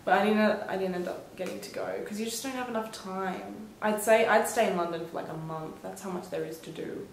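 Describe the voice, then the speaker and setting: A young woman chatting casually as a travel vlogger, telling stories in an animated way and acting out bits of dialogue. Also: mid-range voice, brisk, gravelly, few pauses, slight background noise. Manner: animated storyteller, acts out reported dialogue. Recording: roomy echo.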